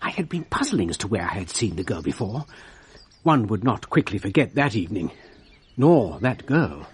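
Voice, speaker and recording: A man playing a grave gentleman witness in a radio drama, recalling events deliberately. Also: on the low side, very animated, breathy edge, full character voice. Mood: grave recollection.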